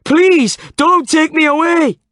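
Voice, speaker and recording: A man's voice: lowish voice, fairly even intonation, normal speed, dry recording, clean studio sound, lightly acted. A man voicing a frail, tearful old man. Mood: wailing panic. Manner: sobbing confession, pleading.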